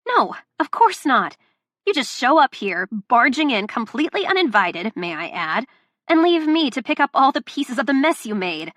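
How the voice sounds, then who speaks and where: A woman performing a young woman who is furious and near tears, ranting in a shrill voice pushed high and loud, as audiobook dialogue. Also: moderate pace, hardly any pauses, no echo, studio quality.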